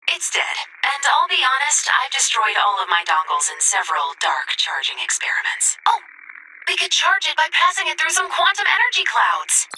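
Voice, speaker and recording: Excitable high-pitched robot girl. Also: far from the mic, heavily acted, low-quality recording. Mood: eager. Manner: theatrical, rambling, swinging between chirpy and dramatic. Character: eccentric, excitable female AI robot assistant, eager and childlike. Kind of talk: video game character voice acting.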